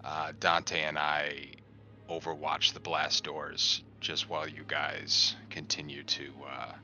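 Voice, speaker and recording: A man talking as a player at a tabletop roleplaying game, with a halting, hesitant delivery. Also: very deep voice, little pitch movement, sharp tone. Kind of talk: in-character game table chat. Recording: clear background noise and ambience.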